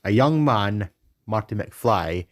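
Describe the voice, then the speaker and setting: A man's voice: lowish voice, lively intonation, slow pace. A man from Britain narrating his own fan cartoon, rambling and reeling off film facts. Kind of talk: casual narration and commentary.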